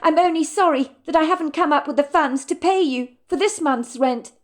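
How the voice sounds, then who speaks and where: A woman's voice: fairly quick, close to an everyday voice. A woman voicing an earnest young waitress in an audiobook story, speaking in a raised, emotional, pleading voice. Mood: apologetic. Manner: high, pleading, tearful delivery.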